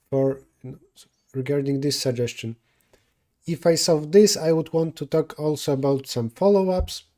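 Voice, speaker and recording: Mellow-voiced Polish man thinking aloud. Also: fairly high pitch. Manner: thinking aloud, self-correcting mid-sentence. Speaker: streamer solving coding problems. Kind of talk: live coding stream, answering chat.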